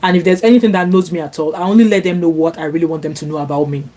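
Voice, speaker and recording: A woman's voice: low voice, steady tone, racing, dark tone. A Nigerian woman whose first language is Yoruba, chatting casually on a live stream as she reads viewer comments, with many fillers and restarts. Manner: chatty, frequent fillers and restarts, rises when indignant. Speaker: vlogger reading viewer comments. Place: home, sunny Glasgow. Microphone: laptop.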